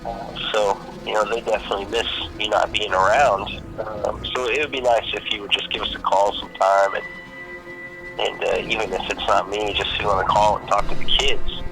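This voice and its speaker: A man playing a worried friend leaving a rambling voicemail in a fiction podcast, halting and casual, with a gravelly voice. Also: fairly low pitch, flat delivery, over music, low-quality recording. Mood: worried, gently pleading. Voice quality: gravelly, creaky.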